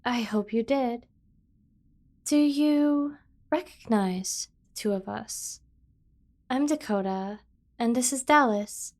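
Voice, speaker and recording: A woman playing a doting, obsessive kidnapper sibling in an ASMR roleplay, coaxing and teasing with drawn-out emphasis. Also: on the high side, a little mellow. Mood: coaxing, playful. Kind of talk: scripted ASMR roleplay acting.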